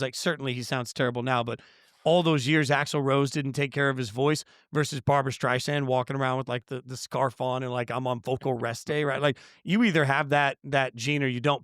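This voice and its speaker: A man appearing as a guest on a sports podcast, telling animated stories full of fillers. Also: medium pitch, no echo, studio quality. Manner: animated storyteller, lots of fillers. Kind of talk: casual interview storytelling.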